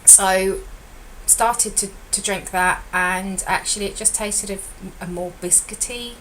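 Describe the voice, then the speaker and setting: A middle-aged woman with a slightly croaky voice, giving a chatty, rambling diet vlog update as a weight loss consultant and often correcting herself. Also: medium pitch, fairly even intonation, unhurried, a bit bright, slight background noise, rough recording.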